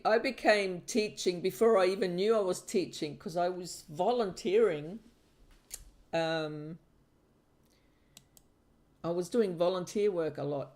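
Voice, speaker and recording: A middle-aged woman from Finland hosts a casual palmistry livestream, and her speech is slow and unhurried. She talks hesitantly, often trailing off into fillers and starting her sentences over. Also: fairly low pitch, darkish tone. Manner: hesitant, halting with many fillers and restarts. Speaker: palmistry teacher and channel host.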